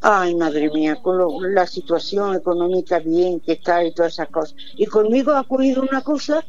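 A woman in her seventies calling in to a radio show, chatting in a rambling, warm-hearted way with devout exclamations. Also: lowish voice, moderate pace, highs cut off. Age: 70s. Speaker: radio caller. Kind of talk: casual chat on a phone-in.

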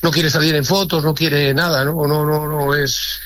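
A man's voice: on the high side, flat delivery, normal speed, fairly bright tone, dry recording. A man with a northern Spanish accent chatting casually, colloquial and emphatic, often repeating his phrases. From Cantabria, northern Spain. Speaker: guest, animal feed seller. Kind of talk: casual chat.

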